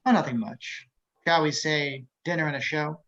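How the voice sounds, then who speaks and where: A man playing a cultured, menacing killer psychiatrist in a dramatic table read, measured and taunting, with theatrical pauses. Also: fairly high pitch, a bit bright. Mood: controlled, demanding. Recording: dull lo-fi video call.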